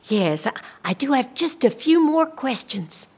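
A woman's voice: sits high, big pitch swings, a little slow, husky, full character voice. An actress playing a polite but persistent government social worker in an audio drama, soothing and officious as she questions someone. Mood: politely probing. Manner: politely insistent, bureaucratic, soothing reassurances. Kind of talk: scripted audio drama dialogue.

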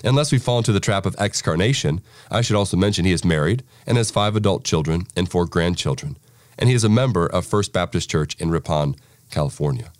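A man's voice: low-pitched, animated, fairly quick, no room sound, clean studio sound. A man speaking as a host, delivering a scripted introduction to an article.

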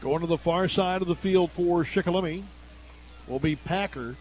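An American man doing live radio play-by-play commentary of a high school football game. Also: moderate pace, darkish tone, poor audio. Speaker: play-by-play announcer.

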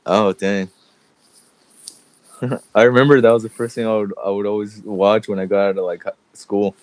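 A man's voice: on the low side, little pitch movement, moderate pace, a little mellow, low-quality recording. A man co-hosting a podcast in casual chat, congested and laughing often as he jokes around. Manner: laughs often, loose and joking. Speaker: podcast co-host.

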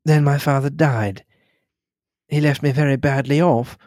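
A man reading the lines of a reserved young English wife, speaking quietly and in a measured way. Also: mid-range voice, steady tone, no echo, studio quality, close to an everyday voice. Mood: thoughtful.

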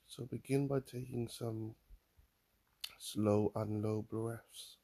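A man guiding a mindfulness meditation in a calm, soothing manner, leaving long pauses between phrases. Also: fairly low pitch, gravelly. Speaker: mindfulness guide. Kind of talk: guided meditation, body scan.

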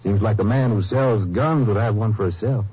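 A laconic ranger sidekick. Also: male voice, on the low side, normal speed, slightly rough. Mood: level. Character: easygoing male ranger partner. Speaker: lawman. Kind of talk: 1950s radio drama acting. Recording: muffled old radio broadcast, loud hiss.

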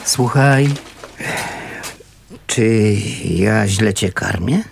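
An actor playing a gruff but kindly homeless man in a radio play, with a gravelly voice and a colloquial, fatherly way of talking. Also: lowish voice, drawn-out words, a little hiss or hum, heavily acted. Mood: caring. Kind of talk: naturalistic Polish radio play acting.

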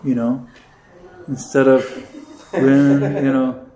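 A man's voice: mid-range voice, flat delivery, takes it slowly, mellow. A man, a priest, casually teaching a catechism class, rambling with many restarts and now and then singing a short chant line. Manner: rambling, many fillers and restarts, sings brief chant examples. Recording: roomy echo.

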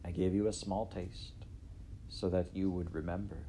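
Guy doing a gentle mom queen from a storybook, soft and loving, bit of noise. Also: deep voice, steady tone, normal speed, natural voice, poor audio. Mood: tender. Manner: soft, tender.

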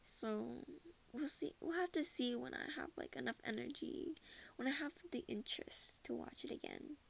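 A woman's voice: high-pitched, moderate pace, creaky, whispered, rough recording. A teenage girl from Minnesota chatting casually about her month, rambling and laughing often. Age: teens. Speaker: podcast host. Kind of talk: casual personal chat.

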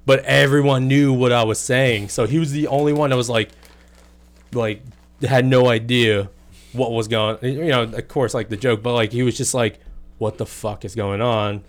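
A man's voice: mid-range voice, steady tone, normal speed. A young man telling stories in a casual chat, swearing freely and laughing often. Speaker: podcast guest.

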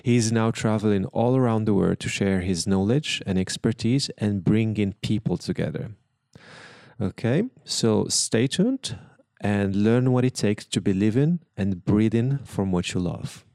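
A man with a low, gravelly voice hosts the show. He reads his guest's introduction in a formal way, then asks loose, rambling questions. The sound is dry and close. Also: a little slow, warm tone, dry recording. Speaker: podcast host. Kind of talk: scripted intro then casual interview.

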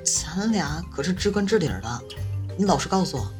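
A woman's voice: fairly low pitch, big pitch swings, a little fast, darkish tone. A woman voicing a cheeky, boastful college roommate with a teasing, chatty manner in a campus audio drama. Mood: gossipy, smitten. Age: young adult. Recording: music playing behind the voice.

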